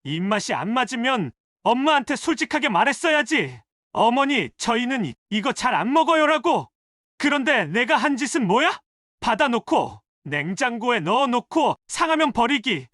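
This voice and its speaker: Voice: mid-range voice, very animated, fairly bright tone, no echo, clean studio sound. An actor playing an indignant adult son scolding a spouse with pointed, accusatory questions in a dramatized family story. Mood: angry, accusing. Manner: accusatory, rapid rhetorical questioning. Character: indignant adult son scolding his wife over his mother.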